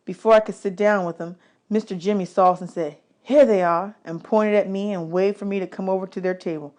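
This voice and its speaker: Female audiobook reader for children's fiction, mid-range pitch, scripted storytelling delivery. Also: fairly even intonation, quick pace, a little mellow.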